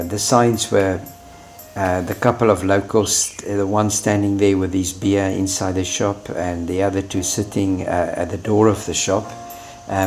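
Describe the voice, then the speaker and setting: A man in his fifties, an Afrikaans speaker, chatting unhurriedly about his watercolor painting, with plenty of fillers and restarts. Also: deep voice. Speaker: watercolor artist. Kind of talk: relaxed travel and painting vlog. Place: living room, indoors.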